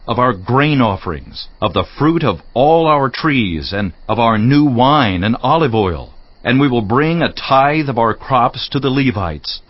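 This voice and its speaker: A man giving a formal, declarative scripted reading of scripture for a dramatized audiobook. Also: mid-range voice. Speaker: audiobook reader. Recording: slightly dull, lo-fi audio.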